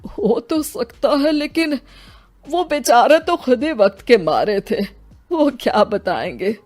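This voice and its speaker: A woman's voice: fairly high pitch, sing-song, slow pace, a little mellow, pitched above the performer's own voice. A woman playing a grieving, weary old father in a dramatized story, speaking plaintively and respectfully. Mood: sorrowful. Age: older. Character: grieving, weary elderly father, polite and sorrowful. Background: music.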